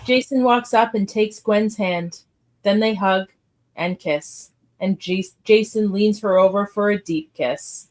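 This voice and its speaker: Female narrator, medium pitch, reading screenplay action lines for a live audio drama. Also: slow pace, darkish tone. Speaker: narrator of a script read. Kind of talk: scripted stage-direction narration.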